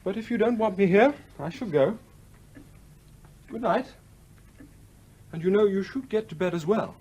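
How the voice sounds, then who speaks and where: A sanctimonious young son in a radio play, smug and patronising. His voice is high for a man and slightly husky, with a very lively, wheedling rise and fall. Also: normal speed. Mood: wounded, sanctimonious. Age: young adult. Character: smug young adult son, pompous would-be clergyman, self-centred. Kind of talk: British radio play acting.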